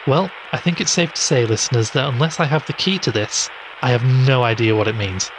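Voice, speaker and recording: An actor playing a skeptical investigative podcast host in an audio drama, haltingly thinking aloud. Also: male voice, mid-range voice, background noise, close to an everyday voice. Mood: dismissive, reasoning. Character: skeptical male investigative show host puzzling over clues.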